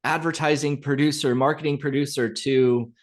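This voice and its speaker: A man hosting a podcast interview, talking in a loose, rambling way that often trails off softly. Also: a little high, steady tone, normal speed. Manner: rambling, many fillers and restarts, trailing off softly. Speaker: podcast host. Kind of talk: interview conversation.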